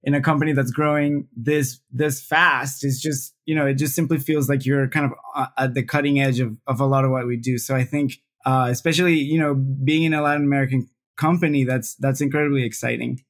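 A man's voice: mid-range voice, steady tone, fairly quick. A man from Venezuela, a researcher speaking as an interview guest in a thoughtful way, often repeating words. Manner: thoughtful, frequent word repeats. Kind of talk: casual interview talk.

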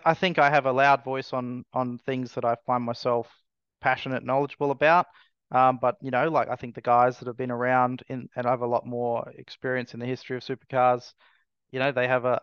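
A man in his thirties gives interview answers with many pauses and restarts. His voice is smooth and even, with little rise or fall. The sound is dry and a bit dull. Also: mid-range voice, no room sound. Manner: hesitant, many restarts and fillers. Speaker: racing team owner.

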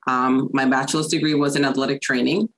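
A woman with a Puerto Rican accent, a chiropractor chatting as a podcast guest, laughing often and filling pauses with ums. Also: very deep voice, fairly even intonation, fairly bright tone, rough recording. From Puerto Rico. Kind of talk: casual interview chat.

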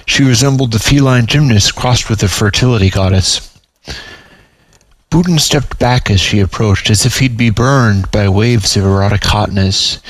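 A man's voice: fairly low pitch, normal speed, no echo, rough recording. Man reading a story aloud, dry close sound. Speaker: author reading own story. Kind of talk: story narration.

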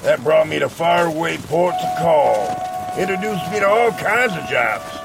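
Gravelly, gruff old hunter. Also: male voice, a little high, animated, a little slow, over loud music. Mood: proud, storytelling. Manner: gruff, folksy, self-assured. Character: gruff, boastful older hunter and former whaling harpooner, male. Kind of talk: western audio drama acting.

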